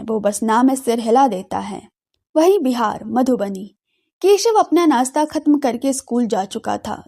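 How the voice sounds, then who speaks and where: A woman narrating a scripted audio drama with expressive storytelling. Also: on the high side.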